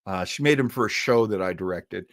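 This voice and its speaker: A man hosting a live show in casual chat, reading out and answering listener comments in a halting, rambling way with jokey asides. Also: sits low, fairly bright tone, no echo, clean studio sound.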